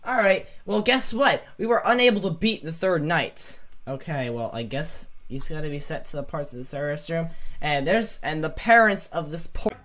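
A man's voice: a little high, expressive, normal speed, a bit bright. A man giving casual, understated commentary on a game. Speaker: gamer.

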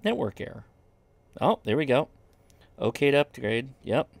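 A man's voice: mid-range voice, big pitch swings, moderate pace, creaky, no echo. A man giving a casual hands-on product review, informally thinking aloud as he goes. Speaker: host of a radio gear review channel. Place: indoors at a desk.

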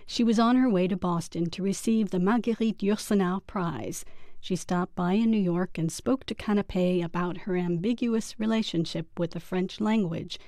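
A woman host reads a scripted introduction with a mellow, dark tone. The recording is close and dry, and her delivery stays even and clear throughout. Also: fairly low pitch, unhurried, no echo. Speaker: program host. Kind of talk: scripted narration.

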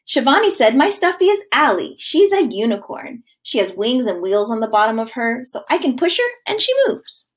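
A woman in her thirties, a kindergarten teacher, reading a children's book aloud with expressive, sing-song delivery. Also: fairly high pitch, moderate pace, hardly any pauses, breathy edge. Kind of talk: read-aloud of a children's book to young kids. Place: living room at home, indoors.

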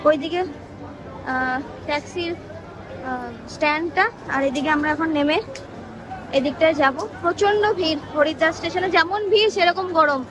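A woman's voice: high-pitched, normal speed, pauses often, poor audio. A woman in her thirties, a travel vlogger, giving a chatty running commentary on her trip into a phone held at arm's length. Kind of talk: casual travel vlog narration. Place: train compartment and busy station outdoors.

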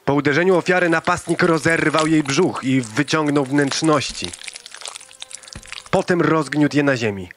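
An actor playing a deferential crime scene investigator in an audio drama, reporting in a factual, measured way. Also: male voice, a little high, a bit bright, slight background noise, close to an everyday voice. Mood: clinical.

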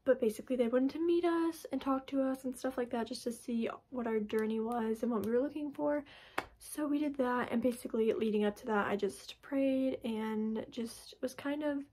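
A young woman talks straight to a close camera in her bedroom, her voice a bit raspy as she recovers from being sick. She sits fairly high in pitch with a soft, mellow tone. She rambles loosely, padding her sentences with "like" and restarting them. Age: young adult. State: raspy from recent illness. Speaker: vlogger. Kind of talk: casual personal vlog. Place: bedroom, indoors. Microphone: camera in front at close range.

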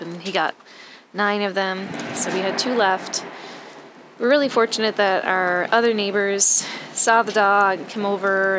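Sad woman vlogging in her backyard. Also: on the low side. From Canada. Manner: soft-spoken, rambling with many fillers. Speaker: homestead vlogger. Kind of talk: casual vlog to camera. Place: outdoors in a rural backyard. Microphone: camera held at arm's length. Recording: outdoor camera audio with background noise.

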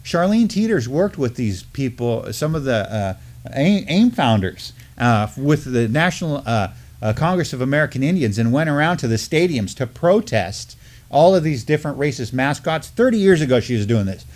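A man's voice: mid-range voice, sing-song, normal speed, a little mellow. Earnest middle-aged man co-hosting a talk. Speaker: co-host of a Native news live stream. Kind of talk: conversational news commentary and storytelling. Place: indoor studio at a desk with a backdrop.

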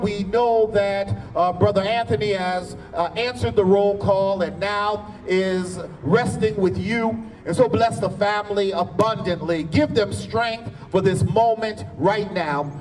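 High-voiced man praying outdoors, distant. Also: a little mellow, a little hiss or hum. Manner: measured, fervent prayer cadence. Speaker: pastor. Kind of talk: church prayer and announcements. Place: outdoor church parking lot service. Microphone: handheld mic at a podium, filmed by a far camera. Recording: distant outdoor mic with faint ambience.

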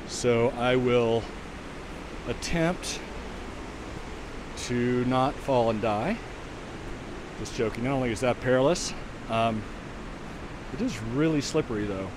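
A man narrates a hike up a slippery, snowy trail, talking casually in between breaths. His voice sits at an ordinary middle pitch. The camera mic picks up strong outdoor noise and rushing water behind him. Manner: chatty, talks between breaths while climbing. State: winded from walking uphill. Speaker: vlogger and virtual walk guide. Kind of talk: casual narration while hiking. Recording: outdoor recording with loud wind and water noise.

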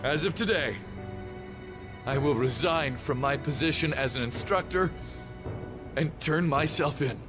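A man's voice: fairly high pitch, measured pace, long pauses, music in the background, lightly acted. Contrite, broken male teacher, acted by a man. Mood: remorseful. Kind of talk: dramatic video game voice acting.

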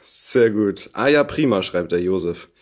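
Playful man with very lively intonation. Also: lowish voice, measured pace. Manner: playful, teasing. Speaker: podcast host. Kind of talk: casual bantering chat. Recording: faint background noise.

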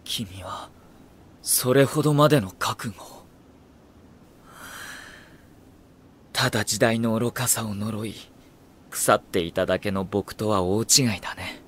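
An actor playing a refined, cerebral young strategist in an audio drama, with elegant, courtly, probing phrasing. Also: male voice, fairly low pitch, a little slow, a bit bright. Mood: moved, resolute. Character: refined, cerebral young male strategist, coolly devoted to his lord. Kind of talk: Japanese audio drama acting.

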